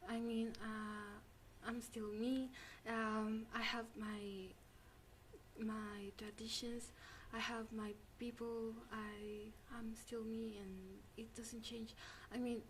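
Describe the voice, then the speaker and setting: A woman's voice: on the high side, little pitch movement, slow pace, slight background noise. A young woman, a Spanish-speaking student, answers interview questions hesitantly with many fillers and restarts. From Spanish-speaking country. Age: young adult. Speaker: student guest.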